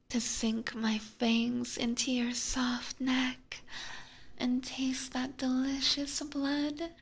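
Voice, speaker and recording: A breathy, whispered voice with a soft crackle, a woman acting as a clingy yandere vampire catgirl. She speaks in a doting, menacingly sweet way, lingering on her words. It is an intimate ASMR roleplay, close on a binaural mic. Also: high voice, flat delivery, extremely slow, heavily acted. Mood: hungry, excited. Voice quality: breathy, mostly unvoiced whisper. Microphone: close binaural ear microphone.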